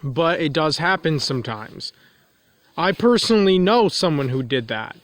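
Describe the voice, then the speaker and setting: A man with a nasal, stuffed-up voice, pitched a touch higher than most men, rambles through a confessional vlog monologue. He repeats himself often and restates the same point several ways. He is recording outdoors in the cold. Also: animated, normal speed. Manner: repetitive, circling back to restate points. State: congested from a runny nose. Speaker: vlogger.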